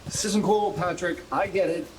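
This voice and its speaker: An American man acting in a radio play as a troubled, injured young soccer player, halting and confessional, with a bitter, sarcastic, profane edge. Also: sits high, noisy background, lightly acted. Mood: angry, defensive.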